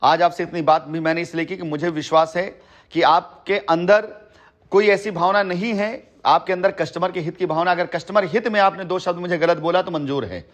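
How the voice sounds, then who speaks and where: A man's voice: high-pitched, fairly even intonation, brisk. A man talking on a phone call in long, persuasive stretches, earnest and emphatic, often repeating himself. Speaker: company head addressing a customer by phone. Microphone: telephone.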